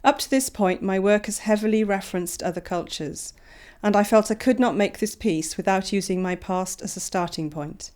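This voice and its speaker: A middle-aged woman from Wales reads a scripted voice-over in a calm, measured way. Her tone stays reflective and unhurried, as an artist talking through her own pieces. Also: medium pitch.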